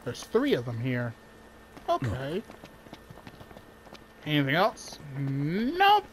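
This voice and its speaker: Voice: medium pitch, wildly swooping pitch, takes it slowly, a little hiss or hum, rough recording. A gamer giving casual let's play commentary, with a nose stuffed up from a cold. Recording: voice-over with game music behind. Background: video game music and sounds.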